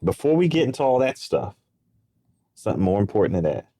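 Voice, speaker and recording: A man hosts a podcast and keeps the talk easy and upbeat. His voice sits fairly low. The sound is dry and close. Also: big pitch swings, a little fast, warm tone, no room sound. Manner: upbeat, chatty, loose Southern phrasing. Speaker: podcast host. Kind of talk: casual interview chat.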